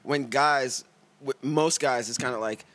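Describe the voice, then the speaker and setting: A man's voice: mid-range voice, measured pace, fairly bright tone. A young man co-hosting a casual podcast chat, laid-back, laughing often and acting out quotes. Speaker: podcast co-host.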